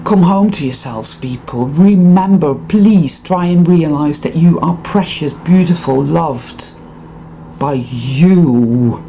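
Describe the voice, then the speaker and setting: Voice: medium pitch, mellow, slight background noise. Intense, swearing speaker with a Dutch accent. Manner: intense, profane, emphatic, repeats words for emphasis. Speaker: spiritual vlogger. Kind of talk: impassioned monologue to viewers.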